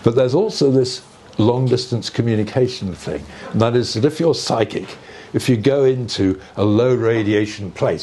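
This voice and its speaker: An older man with a fairly low voice speaks informally to explain his subject. He moves along conversationally, pausing to find words and slipping in small asides. It is a live recording with clear ambient noise behind him. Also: darkish tone. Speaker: speaker on earth energies and ancient sites. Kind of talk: informal public talk, explanatory.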